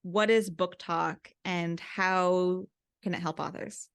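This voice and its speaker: A woman's voice: fairly low pitch, fairly even intonation, takes it slowly, creaky, clear, resonant tone. A woman hosting an interview podcast in an upbeat way.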